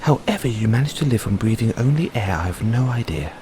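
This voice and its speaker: A man's voice: mid-range voice, animated, brisk, dark tone, slight background noise, natural voice. A man playing an earnest, cheery merman prince in an audio roleplay, speaking in a theatrical, exclamatory way with genteel, fussy politeness. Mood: cheerful, proud. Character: young, cheery, dramatic, naively earnest merman prince of Atlantis. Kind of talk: audio roleplay addressed to the listener.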